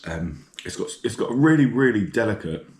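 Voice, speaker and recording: A man's voice: lowish voice, brisk. A man with a blocked nose talks casually and enthusiastically as he cooks in his home kitchen. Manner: relaxed, enthusiastic about food, talks while cooking. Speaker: fishing and cooking vlogger. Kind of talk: casual cooking commentary. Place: home kitchen with sizzling pan. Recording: echoey kitchen with frying noise.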